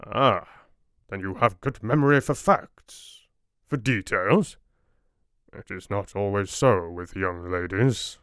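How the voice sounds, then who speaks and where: A man playing a low-voiced, courtly older doctor. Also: takes it slowly, a bit bright, no room sound, studio quality. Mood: tender, courteous. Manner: formal, courteous, quaint foreign phrasing. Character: courtly, earnest older male foreign doctor. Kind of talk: audiobook character dialogue.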